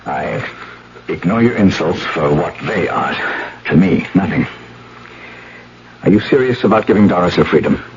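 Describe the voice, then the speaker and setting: Deep-voiced determined researcher, radio drama. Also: male voice, expressive, normal speed, long pauses. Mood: cold, wary. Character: determined, impatient male rat researcher in love. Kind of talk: old-time radio drama acting. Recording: muffled, noisy old radio broadcast.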